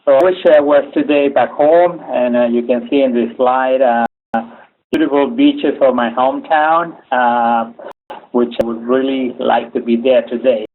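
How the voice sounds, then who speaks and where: A man from Chile giving a webinar lecture, speaking haltingly with many "uh" fillers. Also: medium pitch, fairly even intonation. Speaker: engineering lecturer. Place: home office. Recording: tinny phone-like audio.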